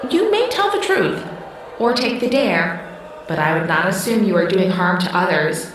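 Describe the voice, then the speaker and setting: A woman playing a childlike artificial intelligence that runs a house, formal, measured and coldly commanding in a scripted audio drama. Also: lowish voice, animated, natural voice. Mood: menacing. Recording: roomy echo, distant, faint noise.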